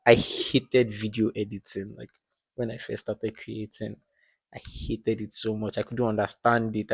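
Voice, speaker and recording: A man's voice: fairly low pitch, darkish tone, no echo. A man in his twenties hosting a podcast, talking casually and reflectively with lots of fillers. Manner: rambling, filler-heavy, reflective. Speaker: podcast host. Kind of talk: casual chat.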